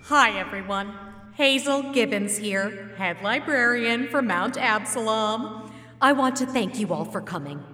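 An actress playing a prim, instructive small-town head librarian who theatrically hosts a children's pageant in an audio drama. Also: female voice, fairly high pitch, expressive, unhurried, bright, forceful tone. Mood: cheerful, formal. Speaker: pageant host. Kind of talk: staged audio drama, public announcement and storytelling. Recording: echoey hall, long reverb, faint music.

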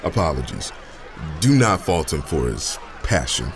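An actor playing a courteous but fed-up protest leader, speaking with formal, emphatic passion in an audio drama. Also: male voice, rumbling low, sing-song, measured pace, slight background noise, full character voice. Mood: calm, apologetic. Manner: formal, emphatic, impassioned oratory. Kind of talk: audio drama street interview.

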